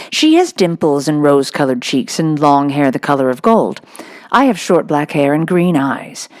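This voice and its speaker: A woman's voice: fairly low pitch, a little mellow. Woman reading as a solemn, earnest little girl. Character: solemn, odd, imaginative little girl in a storybook.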